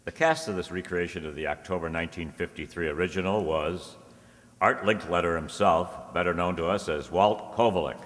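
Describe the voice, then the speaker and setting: A man's voice: deep voice, brisk, fairly bright tone. A man working as a show announcer, reading scripted closing credits. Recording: echoey hall, audience and clear background noise.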